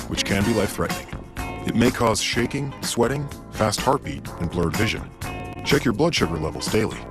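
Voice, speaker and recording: Male voice-over, low, smooth, over clear music. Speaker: announcer. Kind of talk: ad voice-over with fast disclaimers. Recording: music behind.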